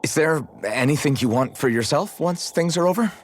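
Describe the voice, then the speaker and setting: An actor playing an easygoing, modest young swordsman with a gently teasing, casual way of talking in a video game cutscene. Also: fairly high pitch, expressive, normal speed. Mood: calm, reflective.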